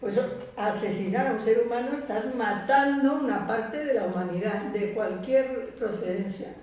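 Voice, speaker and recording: Woman, fairly high, mellow tone, earnest and reflective, echoey room. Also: normal speed. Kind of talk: round-table discussion. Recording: echoey room, far from mic.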